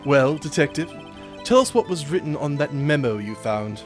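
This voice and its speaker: A man's voice: a little high, very animated, normal speed, soft background music. A man voicing a haughty, disdainful prosecutor in a video game, with pompous, formal phrasing.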